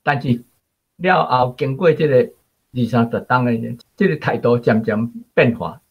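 An older man with a Taiwanese accent giving reflective commentary in halting, short phrases. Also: mid-range voice, breathy edge. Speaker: guest writer. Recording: dull, lo-fi sound.

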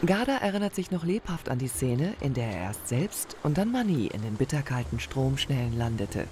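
A man reading scripted documentary narration in a calm, explanatory way. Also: mid-range voice, moderate pace, background noise.

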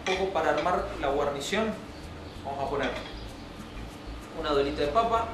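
A man's voice: on the high side, expressive, moderate pace, noisy background. A man in his thirties with an Argentine accent, explaining steps as a chef in a cooking demonstration. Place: winery kitchen and open-air grill patio. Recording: roomy, distant mic, music behind.

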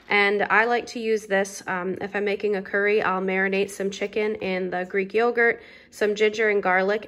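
A woman's voice: mid-range voice, slight background noise. Chatty woman showing her groceries. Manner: relaxed, chatty, many ums and uhs. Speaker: lifestyle vlogger. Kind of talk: casual grocery haul show-and-tell. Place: home kitchen table.

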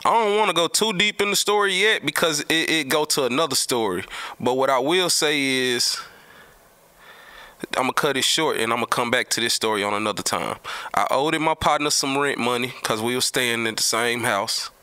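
A man's voice: medium pitch, bright, forceful tone. A man with a Southern US accent telling a story casually and repeating himself, in slangy, profane language. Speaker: host telling stories from the music business. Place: small indoor studio at a table. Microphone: studio mic on a boom arm, close.

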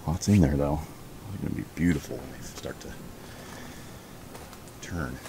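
A man giving a casual walking tour of his backyard garden outdoors, chatty and relaxed as he points things out on a handheld camera. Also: extremely low pitch, background noise. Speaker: homestead vlogger. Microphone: handheld camera held while walking.

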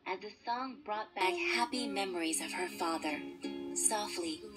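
A woman's voice: medium pitch, unhurried. Woman narrating a storybook over music. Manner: expressive read-aloud delivery. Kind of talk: scripted children's storybook narration. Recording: music behind the voice.

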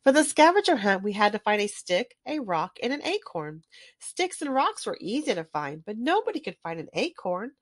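A woman reading a children's storybook aloud in an expressive storytelling manner. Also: medium pitch, normal speed, no room sound. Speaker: children's book reader. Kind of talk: scripted storybook read-aloud.

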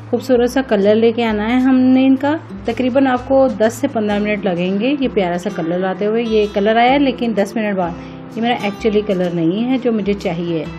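Female cooking vlog host, higher-pitched voice, casual step-by-step instruction addressed to viewers, music behind. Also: warm tone, lo-fi sound. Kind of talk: casual recipe narration, step by step.

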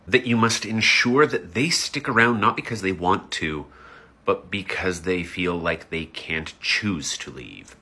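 Man, 40s, sarcastic reactor, very deep, animated, husky edge. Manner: sarcastic, incredulous. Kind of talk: reaction commentary.

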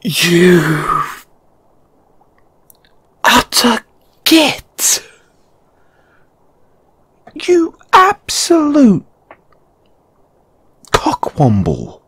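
Man playing a slow-talking, flustered British snake-boy flatmate. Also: mid-range voice, husky, dry recording. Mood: playful outrage. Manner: halting, bashful, stammering. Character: shy, flustered, affectionate male lamia flatmate. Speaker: audio roleplay performer. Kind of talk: intimate audio roleplay acting.

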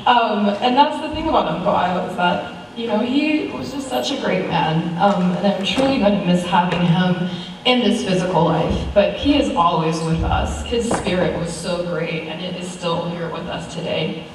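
A woman's voice: lowish voice, moderate pace. American woman in a very echoey hall. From United States. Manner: conversational, chuckling through anecdotes. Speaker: niece giving a funeral tribute. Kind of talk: informal eulogy with personal stories. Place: large church sanctuary, at a pulpit. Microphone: far camera. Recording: very echoey church hall, distant mic, faint noise.

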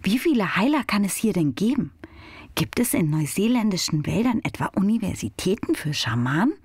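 Spirited sceptical girl, audio drama; husky, lively melody, dry close sound. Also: mid-range voice, brisk, no echo. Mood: sceptical musing. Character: spirited sceptical girl, helpful, talking to herself. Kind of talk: German audio drama acting.

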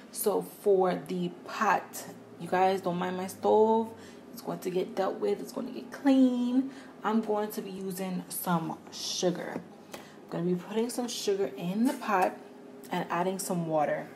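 A chatty young woman talks her viewers through a recipe in a home kitchen. Her voice sits a little low, with some faint noise in the background from the phone recording. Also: measured pace, creaky, a little mellow. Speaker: vlogger. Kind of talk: casual cooking vlog. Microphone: phone camera.

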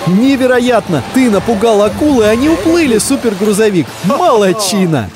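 Children's cartoon narrator: excitable and urgent, very animated delivery, music underneath. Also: medium pitch, normal speed. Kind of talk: animated, exclamatory storytelling addressed to the characters. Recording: music behind the voice.

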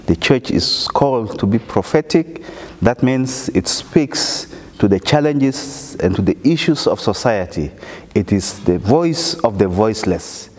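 Middle-aged church leader from South Sudan, earnest and measured, clip-on mic, reverberant hall. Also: male voice, on the low side, expressive, a little mellow. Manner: earnest, measured, emphatic pauses. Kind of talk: interview testimony. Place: indoor chapel with wooden wall.

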